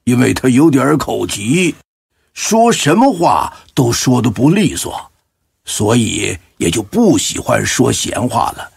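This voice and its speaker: A man plays an old village Buddhist in an audio drama. His voice is very raspy and creaky, and he recalls things slowly with firm certainty. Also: medium pitch, sing-song, no echo, studio quality, heavily acted. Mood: hesitant, fond. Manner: emphatic, unhurried storytelling. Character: certain, plain-spoken elderly lay Buddhist villager.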